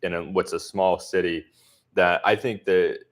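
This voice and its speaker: A man from the southern US answering viewers' comments in a relaxed livestream chat, speaking with a deliberately neutral accent and many filler words. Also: low-pitched. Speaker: expat vlogger answering live chat. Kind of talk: casual livestream Q&A.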